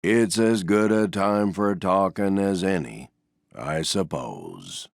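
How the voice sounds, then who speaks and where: A man voicing a gloomy, resigned stuffed donkey in a video game, with a deep, gravelly, mournful drone and a gentle, self-effacing manner. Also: lively intonation, takes it slowly, no echo, studio quality, heavily acted. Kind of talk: video game character voice lines.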